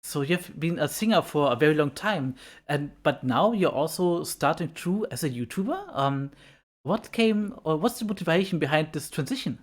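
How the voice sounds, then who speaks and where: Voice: mid-range voice, animated, normal speed. Hesitant panel host. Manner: hesitant, many uhs and restarts, laughs often. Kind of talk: panel interview.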